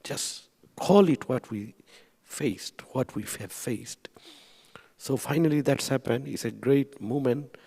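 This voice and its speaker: Man, slow earnest panelist, reverberant hall. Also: mid-range voice, vocal fry, dark tone, breathy edge. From Burma. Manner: earnest, non-native grammar, repeats phrases for emphasis. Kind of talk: speaking at a panel event.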